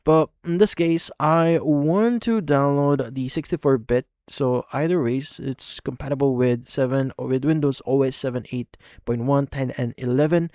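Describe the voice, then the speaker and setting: A man hosting a software tutorial, instructing step by step in a repetitive way. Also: mid-range voice, dry recording, studio quality. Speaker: tutorial host. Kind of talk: screen-recorded software tutorial narration.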